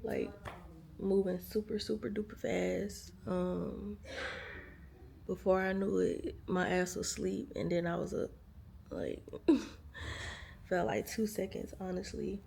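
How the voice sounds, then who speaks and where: A woman's voice: medium pitch, steady tone, slow pace, vocal fry, long pauses, warm tone, slight background noise. A tired woman, chatting casually to viewers from a hospital room. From United States. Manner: chatty, lots of fillers, speaks to viewers as y'all. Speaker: vlogger. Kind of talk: casual storytelling to camera.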